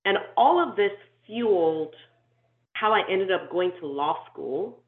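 A woman's voice: mid-range voice, animated. Woman on a tinny, echoey call. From Nigeria. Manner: thoughtful, measured, with frequent ums and restarts. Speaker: inclusion and diversity consultant, guest. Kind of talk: reflective interview storytelling.

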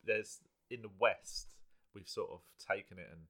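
A man's voice: fairly low pitch, moderate pace, fairly bright tone. A middle-aged man with a South London accent, a comedian chatting casually on a podcast and thinking aloud with easy laughs. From South London, England. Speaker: guest comedian.

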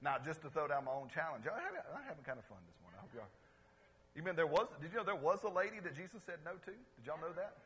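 Husky, bright-voiced man preaching a lively sermon. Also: a little high, quick pace. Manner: calls out to the congregation for responses; swings between loud exclamations and quiet asides. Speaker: pastor. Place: church service before a congregation.

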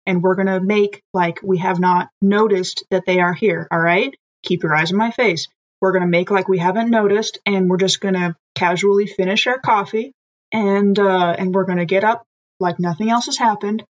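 A woman playing a tabletop roleplaying game, speaking in character in a brisk, commanding way. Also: fairly low pitch, highs cut off. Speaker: player.

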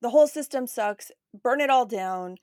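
A woman's voice: a little high, expressive, normal speed, a little mellow, no echo, clean studio sound. A woman hosting a podcast in a casual, candid, informal chat. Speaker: podcast host. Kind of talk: casual conversational interview.

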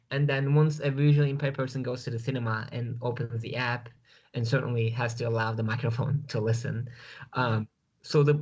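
A man's voice: medium pitch, muffled recording. A man from Turkey with a Turkish accent, a guest speaking over a video call, hesitant and full of fillers.

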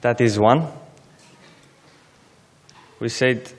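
Young guy, slow careful broken english, big church reverb. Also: mid-range voice, soft background music. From Syria. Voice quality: halting non-native English, simple phrasing. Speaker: guest speaker. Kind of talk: personal testimony speech.

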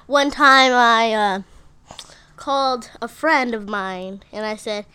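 A woman's voice: a little high, slow, bright, forceful tone, smooth, dry recording. A woman co-hosting a casual podcast, playful and teasing. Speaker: co-host. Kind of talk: casual chat.

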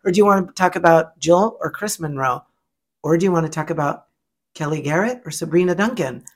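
Male podcast co-host, middle-aged, husky, animated and campy. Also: on the high side, fairly quick. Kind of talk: casual chat.

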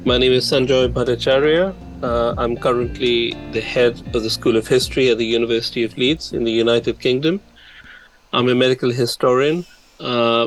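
A man speaking as an academic panel guest, introducing his work in a measured conversational way. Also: mid-range voice, low-quality recording. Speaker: panel guest, medical historian.

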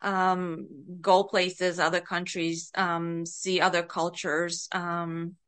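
A middle-aged woman with a Bulgarian accent answers interview questions casually. Her voice is bright and smooth. She speaks slowly and hesitantly, in a giggly manner. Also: lowish voice, flat delivery. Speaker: podcast guest, author and writing coach.

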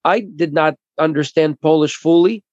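A man interpreting at a recovery meeting over a video call, halting and restarting his phrases. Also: fairly high pitch, a little mellow, no echo. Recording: dull lo-fi video call audio.

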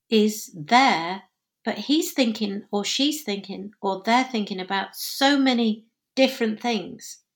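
A woman's voice: medium pitch, vocal fry. A woman actor being interviewed, talking in a chatty way, laughing often and correcting herself. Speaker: actor and dancer guest. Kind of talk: conversational interview.

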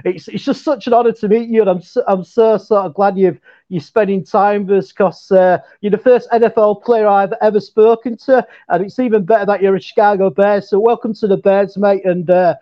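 A man from England with a Yorkshire accent, chatting earnestly and haltingly with lots of fillers on a remote call. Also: high-pitched, steady tone, lo-fi sound. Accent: Yorkshire English. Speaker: show contributor. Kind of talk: casual call-in chat.

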